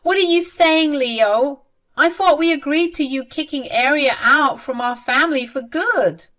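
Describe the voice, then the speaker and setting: A woman's voice: on the high side, moderate pace, a bit bright, voice pushed up, lightly acted. A woman voicing an irritated, indignant middle-aged mother who exclaims and protests her innocence in an audio story. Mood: frustrated.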